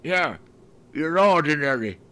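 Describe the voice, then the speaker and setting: A man's voice: fairly high pitch, lively intonation, measured pace, bright, forceful tone, low-quality recording. A man with a Northern English accent voicing a crusty, foul-mouthed old uncle in a comedy dub. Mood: grumbling. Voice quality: gruff, scornful, swears a lot. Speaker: parody voice actor.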